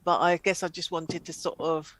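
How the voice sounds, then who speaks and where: A woman co-hosting a comedy chat show, talking casually in rambling, halting explanations. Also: fairly low pitch, level tone, fairly bright tone, no echo.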